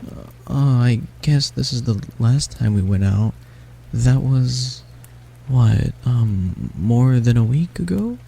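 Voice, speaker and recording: A man voicing a sick, frightened young male catboy in an audio roleplay. The catboy talks softly, stammers and pleads. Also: mid-range voice, expressive, slow, gravelly, breathy edge, full character voice. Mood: worried.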